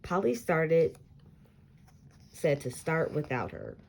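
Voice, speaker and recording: A woman reading a children's story aloud in an expressive, deliberate storytelling style. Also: low voice, gravelly, slight background noise. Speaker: picture book read-aloud reader.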